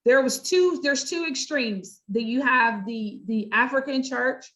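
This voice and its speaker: Woman, middle-aged, American accent, higher-pitched voice, conversational interview guest, emphatic and chatty. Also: measured pace, fairly bright tone. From United States. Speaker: guest: pastor, counselor and coach. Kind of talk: conversational interview, explaining own work.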